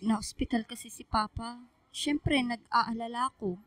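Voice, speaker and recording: An actress with a Filipino accent playing an anxious, loving young daughter in a radio drama, earnest and pleading. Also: female voice, fairly high pitch, moderate pace, a bit bright, dry recording, close to an everyday voice. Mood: worried, subdued.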